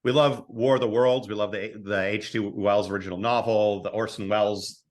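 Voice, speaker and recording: A man's voice: on the low side, steady tone, normal speed, a little mellow. A man speaking as an interview guest and writer, casually discussing creative work, often repeating and restarting his phrases.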